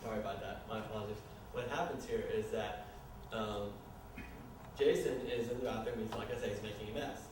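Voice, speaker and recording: Male live narrator, low-pitched, halting and explanatory, recorded far off in a very echoey, noisy room. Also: steady tone. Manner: halting, explanatory, apologetic asides. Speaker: narrator reading stage directions at a staged screenplay reading. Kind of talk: informal live narration of scene action. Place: live staged reading in a reverberant room.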